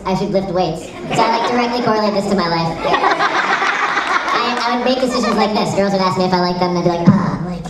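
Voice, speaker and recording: A woman giving a casual, enthusiastic panel presentation with jokey, self-deprecating geek chatter. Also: fairly low pitch. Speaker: convention panel presenter and site creator. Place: convention panel room with audience. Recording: echoey room, distant mic, audience noise. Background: audience crowd.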